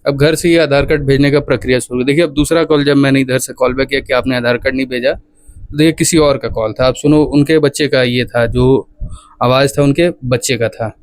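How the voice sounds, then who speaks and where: Man, mid pitch, dry close sound, presenter commentary, emphatic explanation. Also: fairly even intonation, a little fast, no room sound.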